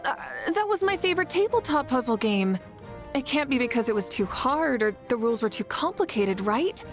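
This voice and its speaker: Mavuika, poised higher-voiced fire-warrior leader. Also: female voice, sing-song, fairly quick, over music. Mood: disappointed. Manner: poised, earnest, assured. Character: Mavuika, confident warm warrior archon of fire, woman leader. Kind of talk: video game character voice lines.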